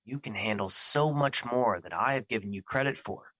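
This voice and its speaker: A man playing Fear as an earnest, protective personified emotion in an audio drama, with formal, measured phrasing. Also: medium pitch, a bit bright, close to an everyday voice.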